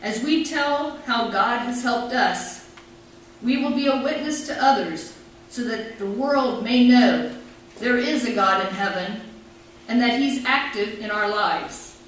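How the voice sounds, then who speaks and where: Middle-aged woman pastor preaching, kinda high voice, reflective, heard from far off with lots of echo. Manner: reflective, measured storytelling with scripture readings. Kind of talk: sermon. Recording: very echoey room, from far off, faint noise.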